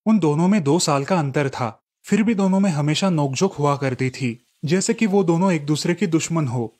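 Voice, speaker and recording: A man narrating a cartoon story with a steady storytelling delivery. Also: on the high side, normal speed.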